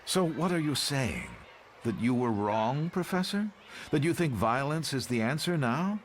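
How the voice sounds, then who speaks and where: A man's voice: medium pitch, lively intonation, measured pace, a little hiss or hum, close to an everyday voice. A man playing an earnest, loyal follower in a motion comic, polite but questioning. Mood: challenging. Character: earnest, loyal young man challenging his mentor.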